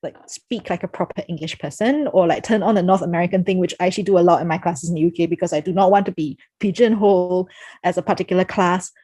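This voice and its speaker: A woman's voice: on the low side, fast, mellow. A woman with a Singaporean accent speaking reflectively as a panel moderator on a video call, hesitantly thinking aloud.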